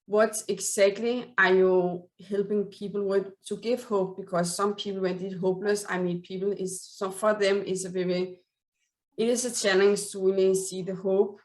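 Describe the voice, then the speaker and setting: Woman host with a Danish accent, non-native English, earnest, pauses to find words; mid-pitched. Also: little pitch movement, rough recording. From Denmark. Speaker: interview host. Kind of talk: casual interview.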